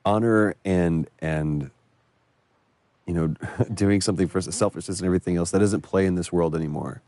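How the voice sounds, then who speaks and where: A man's voice: low voice, normal speed, dark tone, dry recording. A man giving casual, reflective commentary on scenes from a video game. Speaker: commentator on a game's cutscenes.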